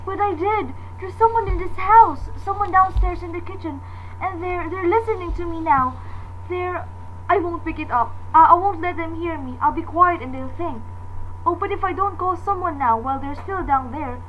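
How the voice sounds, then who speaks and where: A woman's voice: very high-pitched, moderate pace, muffled recording, slight background noise. A woman playing a panicky, demanding, self-pitying invalid wife in a radio thriller, fretful and imperious. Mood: alarmed. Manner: imperious, fretful, breathless pleading.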